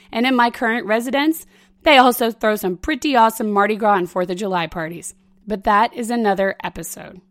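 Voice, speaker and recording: Raspy woman podcast host, hoarse. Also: on the high side, no room sound, clean studio sound. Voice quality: rough, raspy. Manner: conversational, emphatic, directly addressing listeners. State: hoarse from recovering sore throat. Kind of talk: scripted podcast commentary.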